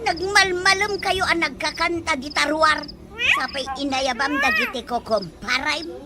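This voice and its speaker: A woman's voice: sits high, very animated, normal speed, loud music behind the voice. A woman playing a grumbling villager in an Ilocano comedy skit.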